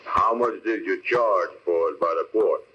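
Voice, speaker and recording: An actor playing a skeptical, questioning, folksy cab driver in a 1940s radio comedy. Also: male voice, low voice, unhurried, slight background noise. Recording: muffled old 1940s radio recording.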